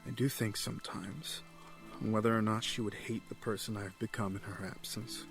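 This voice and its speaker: An actor playing a bereaved, self-justifying villain in an audio drama, solemn and deliberate. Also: male voice, on the low side, steady tone, gravelly, faint music behind. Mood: brooding.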